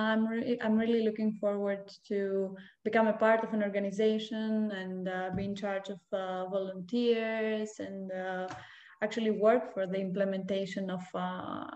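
A woman in her twenties from Greece introducing herself on a video call, pausing with many fillers. Her audio rings in the room and sounds muffled. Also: mid-range voice, reverberant. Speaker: master's student.

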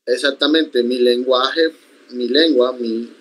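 A young man from the Colombian Sierra Nevada whose first language is Iku, calmly answering interview questions over a video call from a room at home. He talks in a reflective way and often restarts his sentences. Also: mid-range voice, poor audio. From Sierra Nevada de Santa Marta, Colombia. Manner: measured, reflective, with frequent restarts. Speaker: interview guest, accordionist. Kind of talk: conversational interview.

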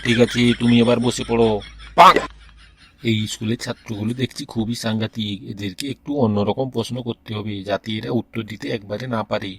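A man's voice: lowish voice, level tone, a little fast. A man voicing a strict, impatient education minister who quizzes pupils in a comedy cartoon. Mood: stern, annoyed. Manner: bossy, interrogating.

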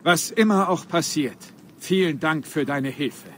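A man's voice: a little high, a little slow, a bit bright, slight background noise. An actor playing a thankful, fearful male villager in a video game. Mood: thankful, anxious.

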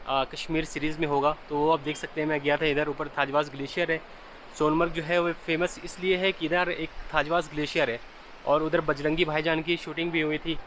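Chatty man vlogging outdoors, slightly high voice. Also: flat delivery, a little fast, a bit bright, slight background noise, rough recording. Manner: chatty and explanatory, talking to camera. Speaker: travel vlogger. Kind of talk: casual travel vlog narration. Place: outdoors in mountain valleys and a memorial park. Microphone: handheld camera at arm's length.

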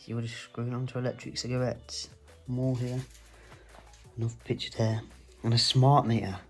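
A man filming himself with a phone as he explores an abandoned house indoors, talking low and hurried to his viewers. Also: little pitch movement. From England. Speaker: urban explorer filming a walkthrough. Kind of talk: casual handheld vlog commentary. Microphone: handheld phone. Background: background music.

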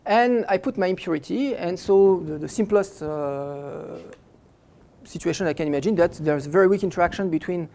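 Halting middle-aged man lecturing physics. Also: sits high, vocal fry, a little mellow. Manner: halting, stops and restarts phrases often while explaining. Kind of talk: academic lecture. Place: lecture hall, indoors.

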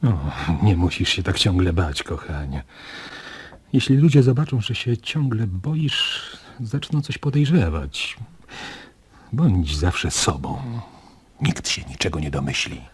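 Grave protective father, very low husky voice, expressive swings in pitch, earnest, radio drama. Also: slow. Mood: tender, earnest. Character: protective, grave father keeping a family secret.

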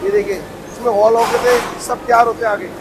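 Man, factory owner, high and monotone sales patter, noisy shop floor. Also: a little fast. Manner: eager salesman, keeps inviting and promising quality. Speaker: footwear factory owner giving a tour. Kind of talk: sales pitch factory tour. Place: footwear factory floor, indoors. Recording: noisy factory machinery behind.

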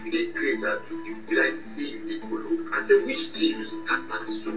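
An actor with a Nigerian accent playing an exasperated, alarmed young friend in a radio drama. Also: male voice, medium pitch, expressive, fairly quick, lightly acted. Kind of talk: radio drama acting in Pidgin English. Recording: distant, echoey, dull audio over music.

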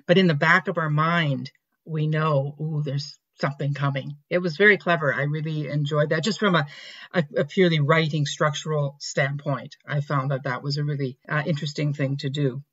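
A woman's voice: deep voice, steady tone, moderate pace, fairly bright tone, breathy edge. A woman podcast host chatting enthusiastically with her guest. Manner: enthusiastic, conversational, some fillers. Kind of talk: casual interview chat. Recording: dull lo-fi remote audio.

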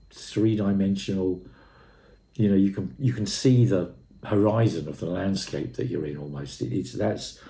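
Male reviewer, 60s. Low, soft-edged mellow voice, emphatic off-the-cuff enthusiasm, recorded at home. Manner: effusive, emphatic, repeats phrases. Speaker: audio equipment and music reviewer. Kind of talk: unscripted product review to camera. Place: room at home, indoors. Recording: roomy, echoey indoor sound.

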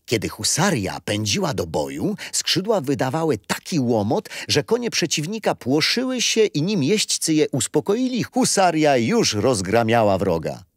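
Grandfather, patient and kindly storyteller for a children's history play, animated intonation. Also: mid-range voice, normal speed, few pauses, no room sound, studio quality. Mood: kindly, explaining. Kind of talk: children's radio play acting.

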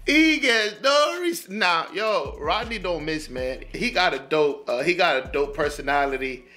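A man reacts on camera with excited, slangy commentary. His voice is high and bright and sharp. Faint music plays behind him. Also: measured pace. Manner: excited, slangy, laughing asides. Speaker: video reaction host. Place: indoor room with blue backdrop.

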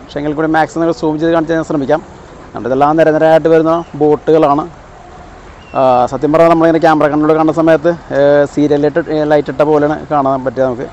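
A man talks through a casual vlog in a slightly high voice. He often pauses and searches for his words. There is light outdoor noise behind him, picked up on a phone. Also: flat delivery, darkish tone, lo-fi sound. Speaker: vlogger. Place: outdoors on a seaside breakwater at night. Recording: noisy outdoor phone recording.

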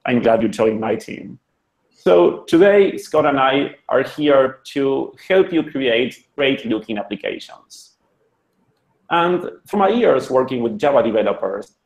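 An Argentinian man presents to developers over a video call, friendly and joking, with loose restarts. His voice has a gravelly edge. The room sounds echoey through his computer mic. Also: medium pitch, a little mellow. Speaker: web designer presenting a talk. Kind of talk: online conference presentation.

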